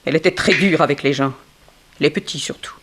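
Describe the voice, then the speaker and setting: An actress playing a bitter, sharp-tongued former ballerina in a 1960s French radio detective play, dramatic and insinuating. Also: female voice, sits low, background noise, natural voice. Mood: sardonic, gossiping. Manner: dramatic, insinuating, swinging from slow emphasis to outbursts.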